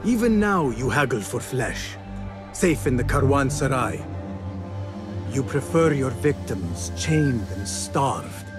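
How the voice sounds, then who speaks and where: An earnest young assassin from a video game. His voice sits at a middle pitch and he speaks seriously and deliberately, with formal, resolute phrasing. Music is prominent underneath. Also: very animated. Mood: cold accusation. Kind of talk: video game cutscene acting.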